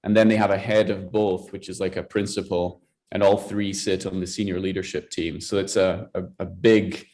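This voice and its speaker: Scottish man, low flat voice. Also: normal speed. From Scotland. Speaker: guest, athletics director. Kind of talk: podcast interview.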